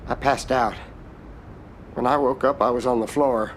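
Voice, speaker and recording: An actor playing a shaken, corrupt middle-aged senator who speaks in a hesitant, halting way in a game cutscene. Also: male voice, mid-range voice, fairly even intonation, slight background noise, close to an everyday voice. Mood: rattled.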